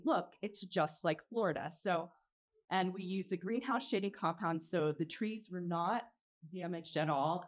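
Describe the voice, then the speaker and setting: A woman with a fairly low voice gives an informal slide presentation. She explains things in measured phrases, often halting and pausing as she goes. She speaks as the presenter of an organization's projects. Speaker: presenter, arts organization founder. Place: event pavilion.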